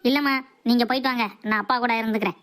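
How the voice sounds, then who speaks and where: A woman's voice: a little high, steady tone, rapid-fire, fairly bright tone, clear, resonant tone, close to an everyday voice. A woman voicing a cheeky, food-loving young son in a comic cartoon. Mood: teasing.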